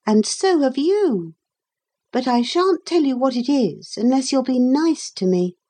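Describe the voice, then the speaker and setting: A woman voicing an earnest, stubborn and excitable young girl in a storybook, with emphatic, childlike, proper phrasing. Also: high voice, slow, pitched above the performer's own voice, full character voice. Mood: insistent.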